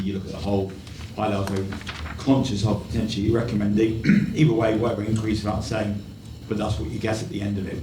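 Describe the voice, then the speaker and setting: A hoarse man on the committee speaks in a hesitant, conversational way, clearing his throat often. His voice sits a little low. He is picked up from away from the mic in a roomy chamber, with noise in the background. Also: distant mic. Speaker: councillor on the committee. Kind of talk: formal committee meeting speech. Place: council meeting room.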